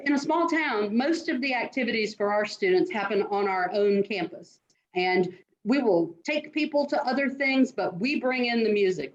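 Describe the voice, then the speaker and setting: A woman's voice: mid-range voice, highs cut off. A middle-aged woman admissions dean answering interview questions in an earnest, direct way, giving students advice. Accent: non-New York American.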